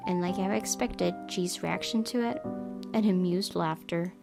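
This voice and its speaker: A woman hosting a gaming video, reading visual novel narration aloud in a measured, dramatic way. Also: on the low side, creaky. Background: game music.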